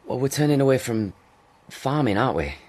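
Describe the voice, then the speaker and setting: A man's voice: mid-range voice, sing-song, a little fast, a little mellow. A man with an English accent playing a plain-spoken young farm lad in a radio soap. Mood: blunt.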